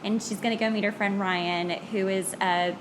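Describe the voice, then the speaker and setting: A woman's voice: medium pitch, fairly even intonation, moderate pace. A woman with a Californian accent chatting casually and playfully as a podcast host. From California, United States. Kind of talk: casual chat with a guest. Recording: noticeable background noise.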